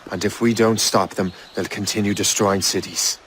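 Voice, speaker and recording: A man's voice: fairly low pitch, steady tone, normal speed, a bit bright, a little hiss or hum, lightly acted. A man playing a resolute, self-assured adventurer in a video game cutscene, speaking in a direct, firm and courteous way. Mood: grave.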